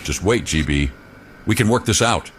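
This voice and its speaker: Man playing a low-voiced fatherly agency boss. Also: lively intonation, normal speed, a little hiss or hum. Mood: consoling. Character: fatherly male military boss, consoling and folksy. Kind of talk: mock radio serial acting.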